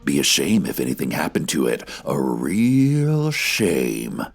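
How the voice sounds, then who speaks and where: A man doing a raspy, deep-voiced thug creditor. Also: sing-song, slow, soft background music, full character voice. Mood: menacing, weepy. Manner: mock-threatening, sentimental. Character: menacing raspy male creditor, weepy tough guy.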